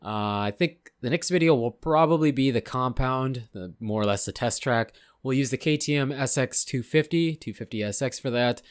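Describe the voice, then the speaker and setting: A man casually talking over game footage, rambling and unsure of himself, a little hoarse from losing his voice. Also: medium pitch, moderate pace, hardly any pauses, dry recording, studio quality. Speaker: gaming channel host. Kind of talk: casual gameplay commentary.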